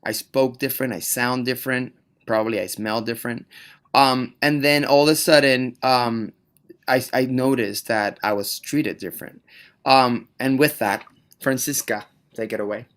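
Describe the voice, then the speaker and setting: A man's voice: medium pitch, fairly even intonation, normal speed, fairly bright tone. Guy hosting a video call panel, middle voice, tells his own story. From Puerto Rico. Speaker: moderator.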